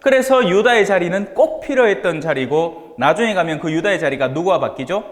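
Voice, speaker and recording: Animated Gyeongsang-accented priest in an echoey hall. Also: male voice, sits high, brisk. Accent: Gyeongsang Korean. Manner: jocular, colloquial, rhetorical questions to listeners. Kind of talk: informal religious lecture to a small group. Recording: echoey hall with long reverb.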